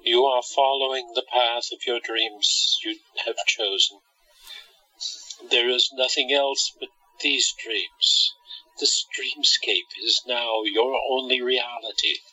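Mystical hypnotist, male actor, radio drama. Very forceful, deliberate, dry lo-fi sound. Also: medium pitch, steady tone, husky, dry recording, full character voice. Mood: commanding, hypnotic. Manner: deliberate, commanding. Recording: dull lo-fi, close and dry.

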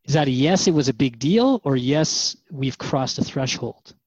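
A man's voice: medium pitch, expressive, normal speed, a little mellow, husky, dry recording. A man in a conversational radio drama, asking and answering questions in a hesitant, reflective way. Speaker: interviewer in a radio drama series. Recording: dry, slightly dull audio.

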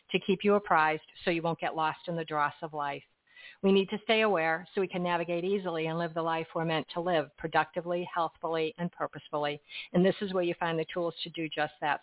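Lady radio host, polished and gently emphatic, phone-thin audio. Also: on the low side, no echo, narrow, tinny audio. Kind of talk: radio talk show hosting and scripted sign-off.

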